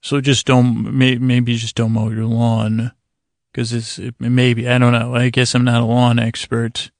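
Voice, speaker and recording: A man with a creaky voice hosting a bedtime podcast, talking in a soothing, meandering monologue with halting pauses and digressions. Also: medium pitch, completely flat delivery, no echo, studio quality. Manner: soothing, lulling delivery. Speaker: podcast host.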